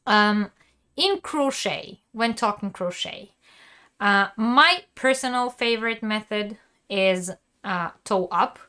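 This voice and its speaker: A woman hosting a live crochet lesson, talking casually with her viewers and laughing often. Her voice is wearing thin and she stops to cough and clear her throat. She talks in loose, chatty runs with plenty of fillers. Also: mid-range voice, expressive, slow pace, sharp tone. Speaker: crochet teacher and channel host. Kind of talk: live-streamed craft lesson, answering chat.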